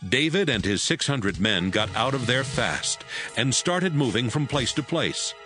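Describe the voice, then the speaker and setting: Male narrator for scripted scripture reading, animated medium voice, music bed. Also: mid-range voice, a little slow.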